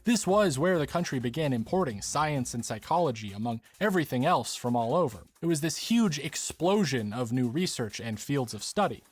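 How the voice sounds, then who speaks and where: Man, mid-pitched voice, scripted narration, explanatory video essay delivery, measured asides. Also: animated.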